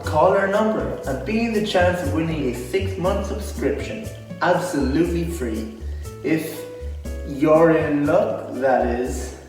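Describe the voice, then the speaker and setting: Echo-drenched man playing pushy advert pitchman. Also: heavily acted. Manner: dramatic, swinging from measured to shouting. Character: pushy, over-excited male spoof advert pitchman. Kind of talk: parody TV advert. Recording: echoey hall, distant, music behind.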